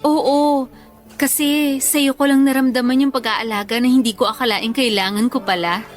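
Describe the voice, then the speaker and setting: Woman acting as tender, high-voiced young schoolteacher. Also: steady tone, moderate pace, soft background music, close to an everyday voice. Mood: shy, earnest. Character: tired, affectionate young woman schoolteacher caring for parents. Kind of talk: Filipino radio love drama dialogue.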